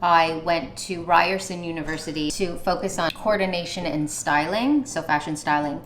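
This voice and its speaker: A woman's voice: lowish voice, moderate pace, fairly bright tone. A woman in her thirties with a chatty, confident manner, talking casually with playful asides while she cooks at home. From Canada. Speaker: vlogger. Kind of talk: casual chat while cooking. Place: home kitchen. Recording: echoey kitchen, faint noise.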